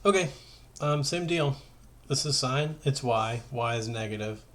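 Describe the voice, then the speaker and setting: A man's voice: mid-range voice, a little slow, fairly bright tone. A male teacher with a mid-range voice talks through worked math problems in an informal way. He is conversational and thinks aloud, checking and correcting himself as he explains. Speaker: high school math teacher.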